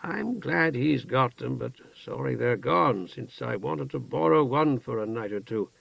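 A calm, kind older wizard with a soft, plain way of talking, as a male reader voices him. His tone carries a gravelly edge. The recording is dry and dull. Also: mid-range voice, unhurried, no echo, heavily acted. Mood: gentle. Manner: soft-spoken, warm and plain.

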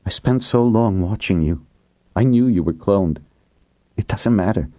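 A man voicing a grieving middle-aged woman set on revenge in an audiobook, calm and deliberate. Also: lowish voice, no room sound. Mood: tearful calm. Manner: calm, murmuring, deliberate, snapping when challenged.

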